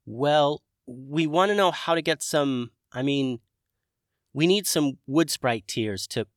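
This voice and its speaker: A man's voice: on the high side, slow pace, dry recording, clean studio sound, voice pushed up. Earnest male dragon played by a man, polite, hesitant, slightly raised voice, storybook reading.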